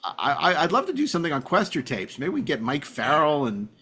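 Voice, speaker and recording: Man chatting with very lively pitch. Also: breathy edge. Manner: halting, repeats phrases. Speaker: podcast host. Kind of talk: casual chat.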